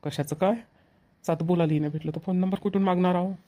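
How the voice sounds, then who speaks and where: A man's voice: high voice, steady tone, fast talker, dark tone, close to an everyday voice. A man voicing an earnest, eager young village bridegroom and dutiful son in a cartoon, with a Vidarbha Marathi accent. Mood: musing, eager. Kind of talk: amateur cartoon dialogue.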